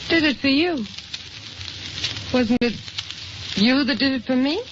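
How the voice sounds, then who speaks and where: A woman's voice: high-pitched, a little slow. An actress playing an insistent, emotional wife and protective mother in a 1940s radio drama. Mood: anxious. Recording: noisy, muffled old radio broadcast.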